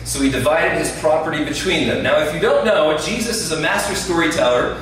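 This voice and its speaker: A man preaching a sermon to a live audience in a loud, conversational voice, often checking that listeners follow. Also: fairly high pitch, brisk. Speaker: guest preacher. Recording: very echoey room, distant mic, faint ambience.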